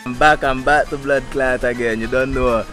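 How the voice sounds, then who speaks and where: A man's voice: mid-range voice, fairly even intonation, normal speed, fairly bright tone. A young man running casual street interviews on a sunny beach, upbeat and slangy, laughing often. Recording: music playing under the voice.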